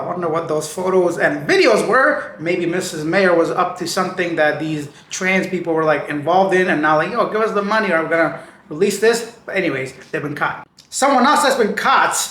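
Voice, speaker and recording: A man hosting a casual news show with a slightly raspy voice, reading stories and adding slangy, chatty asides. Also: high voice, fairly bright tone, roomy sound. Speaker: news channel host.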